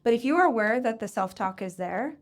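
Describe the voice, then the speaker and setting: Female host at a live Q&A, frank and animated, laughs easily. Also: a little high, a little fast, a little hiss or hum. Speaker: podcast host answering audience questions. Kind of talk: live Q&A, casual and encouraging. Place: live event venue.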